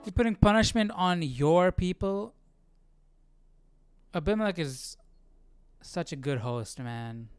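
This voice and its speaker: A man's voice: high voice, lively intonation, slow, long pauses, clear, resonant tone, no room sound, soft background music. A man hosting a live Bible study stream, thinking aloud in casual, reflective commentary and checking in with listeners. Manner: thinking aloud, often asking "right?".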